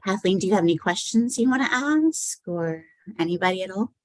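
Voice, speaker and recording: A woman's voice: medium pitch, expressive, brisk, dry recording, clean studio sound. A woman poet answering questions about her work in an informal, musing, self-questioning way. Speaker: poet and anthology editor, guest. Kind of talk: poetry reading and conversational Q&A.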